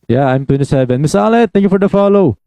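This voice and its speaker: A man's voice: on the high side, animated, fast, warm tone, smooth, no echo. A man in his twenties with a Filipino accent, hosting a casual live stream, switching between Tagalog and English and calling out viewers by name. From Philippines. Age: 20s.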